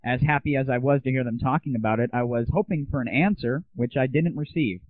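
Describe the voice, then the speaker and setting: A man's voice: mid-range voice, quick pace, dry recording. Chatty male show host, lo-fi. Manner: casual and chatty, addresses listeners as guys. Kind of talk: casual show monologue. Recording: lo-fi dry recording.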